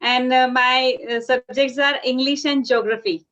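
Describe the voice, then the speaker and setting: An Indian-accented woman with a high voice introduces herself on a video call. She speaks earnestly and carefully, pausing on many fillers, and the call audio sounds dull and lo-fi. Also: steady tone, a bit bright. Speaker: secondary school teacher.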